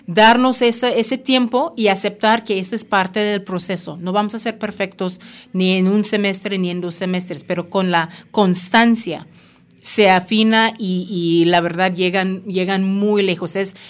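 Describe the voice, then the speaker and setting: Jamaican woman explaining with steady encouragement. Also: medium pitch, a little slow. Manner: encouraging, explanatory, frequent tag questions. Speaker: language school representative. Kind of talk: conversational interview.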